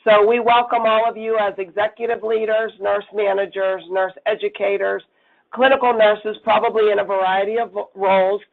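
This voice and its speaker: A middle-aged woman with a raspy voice, hoarse from a cold, giving a webinar presentation as a nursing executive. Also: mid-range voice, flat delivery, normal speed. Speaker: chief nursing officer presenting. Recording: phone-line audio.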